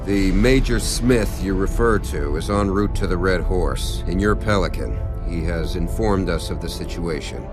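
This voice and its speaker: An actor playing a composed military commander in a motion comic, formal, measured and authoritative. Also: male voice, deep voice, natural voice. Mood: formal, guarded. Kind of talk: dramatic voice acting in a motion comic. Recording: dramatic music behind the voice. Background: dramatic score.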